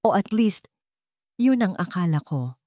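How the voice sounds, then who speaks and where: A woman's voice: fairly low pitch, very animated, unhurried, dark tone, no room sound, clean studio sound. Earnest young lover voiced by a woman. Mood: shaken, relieved. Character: devoted, frightened young man, the heroine's lover.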